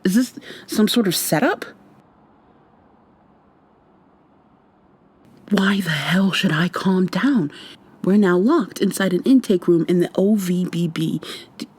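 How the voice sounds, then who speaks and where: A woman playing a defiant, frightened young human captive in an intimate, hushed, breathy ASMR roleplay, tense and sweary. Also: lowish voice. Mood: panicked, bitter. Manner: tense, profane, confrontational.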